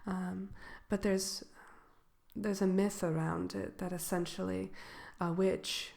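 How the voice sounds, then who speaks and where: A woman playing a polite, slyly malicious wicked witch selling brooms in a soft, hesitant, unhurried ASMR roleplay. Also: lowish voice, flat delivery, gravelly. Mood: helpful, musing. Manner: hesitant, unhurried, genteel shopkeeper patter. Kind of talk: ASMR roleplay, soft-spoken and partly whispered.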